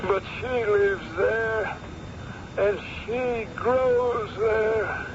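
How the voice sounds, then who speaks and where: Haunted elderly professor, very high strained voice, dragging delivery; noisy vintage radio sound. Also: male voice, extremely slow. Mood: haunted, mournful. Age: older. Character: tormented old male professor, frantic and haunted. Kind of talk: old-time radio drama acting. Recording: noisy, muffled old radio recording.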